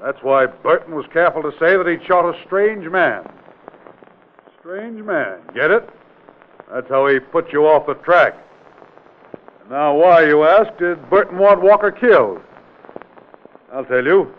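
An actor playing a forceful, brusque state investigator in a mid-century radio drama, speaking with authority and pressing his questions insistently. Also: on the high side, expressive, a little slow, long pauses. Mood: accusing, insistent. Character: forceful, commanding male state investigator exposing a killer. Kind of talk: 1940s-50s radio drama acting. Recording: tinny, band-limited vintage radio recording.

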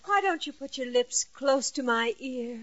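High-voiced, amorous radio sweetheart. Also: female voice, lively intonation, unhurried. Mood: seductive. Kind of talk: 1940s radio sitcom acting. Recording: dull lo-fi vintage radio recording.

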